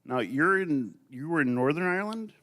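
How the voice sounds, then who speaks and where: A man's voice: a little high, moderate pace. A man interviewing a guest in a casual, friendly way, with small jokes between his questions. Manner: friendly, joking, lively intonation.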